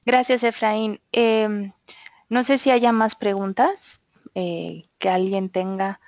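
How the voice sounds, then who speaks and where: A woman's voice: medium pitch, steady tone, slow, a little mellow, no echo. Polite woman moderating, dry sound. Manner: polite, with frequent "eh" fillers. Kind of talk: webinar moderation.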